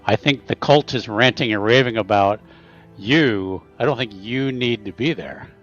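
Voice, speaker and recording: A man's voice: on the low side, normal speed, fairly bright tone, soft background music. A man talking casually through plans as a player in a tabletop roleplaying game, on a slightly muffled microphone. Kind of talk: casual planning chat.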